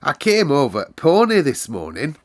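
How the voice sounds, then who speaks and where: A man's voice: a little high, wildly swooping pitch, pitched above the performer's own voice. A man voicing a cheerful, kindly country boy in a storybook, speaking broad Yorkshire dialect with eager wonder. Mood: fond, proud. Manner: broad dialect, warm exclamations, unhurried wonder.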